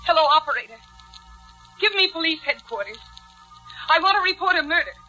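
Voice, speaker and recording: A woman's voice: very high-pitched, expressive, a little fast, pushed and bright, full character voice, rough recording. An actress playing a cold, vengeful woman who mocks with biting scorn in 1940s radio thriller style. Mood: coolly composed. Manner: biting, mocking, hard. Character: cold, scornful, vengeful female double-crosser. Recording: old 1940s radio recording, faint music.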